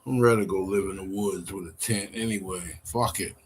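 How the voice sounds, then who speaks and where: A man's voice: low-pitched, fairly even intonation, fairly quick, a little hiss or hum. A man about fifty, rambling on a live stream, weary and venting with plenty of swearing. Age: 50s. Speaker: livestreamer.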